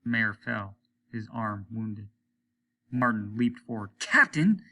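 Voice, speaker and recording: A man reading a story aloud as dramatic, expressive narration. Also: fairly low pitch, slow pace, a little hiss or hum. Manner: expressive, acts out the dialogue.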